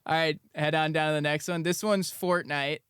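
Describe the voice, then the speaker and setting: A man interviewing a guest in an upbeat, brisk way, quick to laugh. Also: on the high side, steady tone, fairly bright tone, smooth, no room sound, studio quality. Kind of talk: casual interview.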